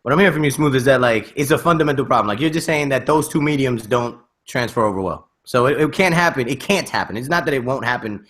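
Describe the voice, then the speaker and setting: A man's voice: medium pitch, expressive, fast talker, hardly any pauses, fairly bright tone. A man hosting a casual podcast in slangy, emphatic hype-man banter. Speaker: podcast host.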